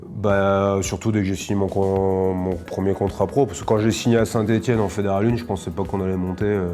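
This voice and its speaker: A man's voice: sits low, little pitch movement, a little fast, dark tone, faint music behind. A man in his thirties from northern France, a professional rugby player answering interview questions casually, with many fillers and restarts.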